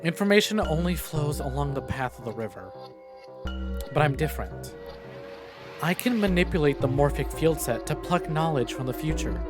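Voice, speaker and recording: Guy reading a mysterious sure-of-herself visual novel woman, higher male voice, slow riddly monologue. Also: lively intonation, music in the background, lightly acted. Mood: cryptic, deliberate. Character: cryptic, self-assured young woman explaining her powers.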